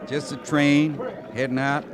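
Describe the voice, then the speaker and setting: An actor playing a bashful, plain-spoken cavalry sergeant with a rustic drawl in an old-time radio western. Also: male voice, a little high, level tone, a little slow, close to an everyday voice. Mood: subdued. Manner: rustic, ungrammatical frontier drawl. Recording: noisy old radio drama recording.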